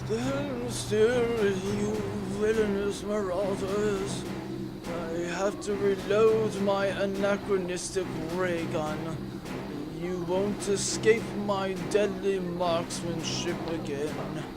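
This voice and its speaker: A man playing a pompous, melodramatic old count in a comic space-opera audio drama, a bumbling villain with a halting delivery. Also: high-pitched, fairly even intonation, over loud music. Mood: menacing, haughty. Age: older.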